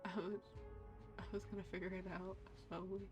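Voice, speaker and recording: A woman's voice: mid-range voice, level tone, a little fast, darkish tone. A woman streaming a game, muttering casual reactions to herself as she plays. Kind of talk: casual gameplay commentary. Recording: game music behind the voice. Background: game music.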